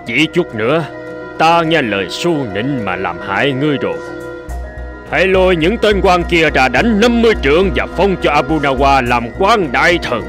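A man voicing an imperious, quick-tempered king in a folk-tale cartoon, with a haughty, commanding manner. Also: fairly high pitch, expressive, over music, natural voice. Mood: appreciative, commanding. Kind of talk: Vietnamese cartoon dubbing.

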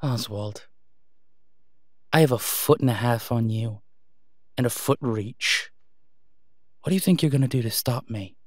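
A man's voice: mid-range voice, measured pace, pauses often, no room sound, lightly acted. A man playing a brash, sarcastic masked vigilante with dry, taunting banter in an audio roleplay. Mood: taunting, confident.

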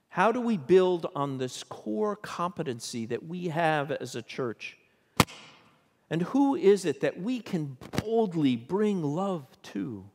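An older man, a bishop, preaching a sermon from a pulpit in a church sanctuary, measured and questioning in tone. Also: a little high. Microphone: pulpit microphone. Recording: long church reverb.

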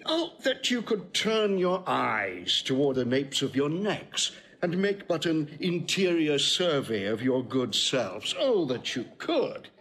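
An actor playing a witty, sardonic Roman patrician, teasing with hesitant mock-politeness in Shakespearean comic style. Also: male voice, a little high, very animated, takes it slowly, few pauses, fairly bright tone, a little hiss or hum, full character voice. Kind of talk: classical Shakespearean comic acting.

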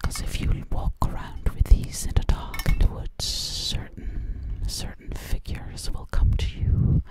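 A woman's voice: unhurried, lightly acted. A woman playing a sly, boastful witch in an ASMR roleplay, speaking breathily and close up, repeating words hypnotically. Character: sly, boastful female witch who takes people as coats. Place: small indoor room at a table. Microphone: close to the mic.

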